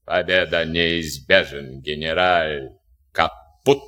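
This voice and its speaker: An actor playing an arrogant captured German SS officer, speaking broken Russian with a German accent. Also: male voice, rumbling low, steady tone, takes it slowly, bright, forceful tone, heavily acted. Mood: gloating. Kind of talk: war film acting.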